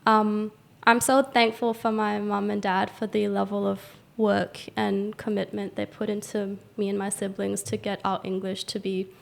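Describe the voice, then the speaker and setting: A woman's voice: mid-range voice, creaky. A young woman from Thailand with an accent that mixes Thai and Australian, talking reflectively in a casual interview with many likes and ums. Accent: mixed Thai and Australian. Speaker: guest, tattoo artist and teacher.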